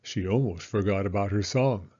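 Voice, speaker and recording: A man narrating a children's story as a storyteller, in a measured, expressive manner. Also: fairly low pitch, husky, dull audio.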